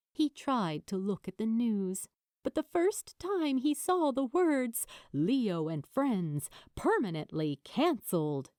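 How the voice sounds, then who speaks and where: A woman reading a story as an audiobook narrator, with dramatic, deliberate phrasing and pauses. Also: on the high side, mellow, dry recording, clean studio sound. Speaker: narrator of fan fiction.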